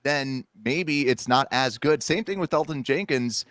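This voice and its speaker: A man's voice: mid-range voice, measured pace, a bit bright, no room sound, clean studio sound. A man who is a guest on a casual sports podcast, agreeing readily and laughing easily as he talks.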